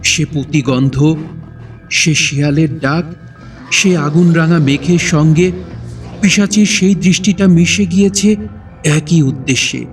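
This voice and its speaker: A man narrating a horror audio drama in a dramatic, suspenseful style with deliberate phrasing. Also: a little high, recorded from a distance.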